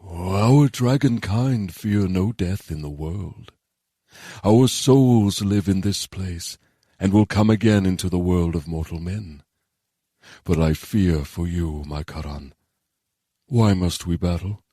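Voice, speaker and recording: Audiobook dragon, played by a man: great noble male beast, solemn and loyal, unhurried stately delivery. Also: low voice, warm tone, dry recording, studio quality. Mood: grave, concerned. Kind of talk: audiobook character voice.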